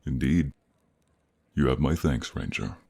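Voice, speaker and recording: A man playing a proud, boastful Norse thunder god with a gruff, husky voice in a fantasy audio roleplay. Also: rumbling low, expressive, measured pace, a little mellow, exaggerated voice. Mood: hearty. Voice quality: gravelly, husky. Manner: boastful, pompous, easily provoked.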